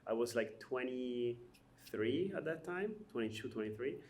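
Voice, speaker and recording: Man hosting, halting and chatty. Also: medium pitch, lively intonation, a little mellow, slight background noise. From Albania. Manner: hesitant, many fillers, laughs often while telling stories. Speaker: podcast host. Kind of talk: casual conversational interview.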